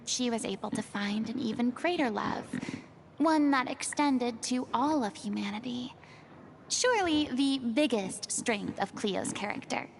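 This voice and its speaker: A woman playing a gentle, perceptive young woman in a fantasy video game, composed and articulate. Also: a little high, unhurried, gravelly, slight background noise. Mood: reflective.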